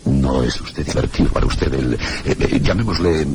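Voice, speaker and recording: An actor playing a courteous, awkward, apologetic gentleman in a radio mystery, speaking formally and hesitantly. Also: rumbling low, moderate pace, noisy background. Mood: awkward curiosity. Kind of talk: Spanish radio drama acting.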